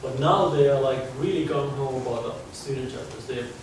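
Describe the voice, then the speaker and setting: A man in his forties speaks with a Finnish accent, a guest lecturer talking to students in a chatty, conversational way. He sounds distant from the mic, his voice booming around a big echoey lecture hall. A steady hum of room noise sits under him. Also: moderate pace. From Finland. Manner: chatty, conversational, restarts phrases. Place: large lecture hall, indoors. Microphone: far camera, no mic seen.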